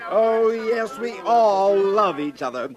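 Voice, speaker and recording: A man's voice: high-pitched, lively intonation, slow, muffled recording. An actor playing a flustered, officious male supervisor with a fussy, commanding manner in a 1950s radio drama. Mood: soothing.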